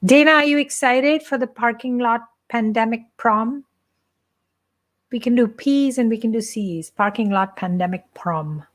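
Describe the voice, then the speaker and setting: A woman's voice: a little high, unhurried. A middle-aged woman from India with an Indian accent, hosting a playful, chatty live stream for her church and joking with viewers. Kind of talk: casual live-stream chat.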